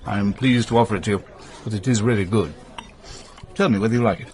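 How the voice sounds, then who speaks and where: An actor playing a courteous, solitary gentleman in a British radio drama, formal and precise, with a gently instructive manner. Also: on the low side, fast talker, a little hiss or hum, lightly acted.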